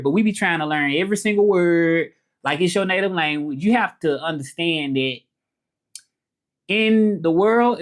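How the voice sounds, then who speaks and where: Casual man with a Memphis Southern accent. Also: fairly high pitch. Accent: Memphis Southern American. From Memphis, southern United States. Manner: informal, rambling, repeats himself. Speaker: livestream host. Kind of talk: casual livestream chat about learning languages, reading comments.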